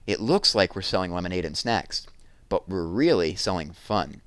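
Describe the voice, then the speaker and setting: A man's voice: low-pitched, expressive, moderate pace, fairly bright tone, no echo. A man voicing an eager, clever young schoolgirl in a storybook, earnestly explaining things. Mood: excited, confident.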